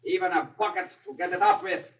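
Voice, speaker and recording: An actor playing a brutal, impatient Nazi soldier in a 1940s radio drama, speaking curtly. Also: male voice, sits high, fast talker. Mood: obedient, alert. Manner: curt, obedient to his officer. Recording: dull, hissy 1940s radio recording.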